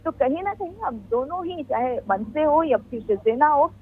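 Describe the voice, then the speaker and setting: A woman giving a live, unscripted news report in a halting, explanatory way. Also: high-pitched, animated. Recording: tinny phone-line sound.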